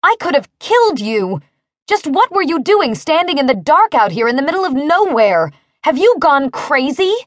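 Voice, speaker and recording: Feisty, rattled storybook heroine performed by a female narrator, raised pitch, indignant and panicky, in a dry recording. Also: very animated, moderate pace, darkish tone, no echo. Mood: angry, demanding. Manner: agitated, accusing.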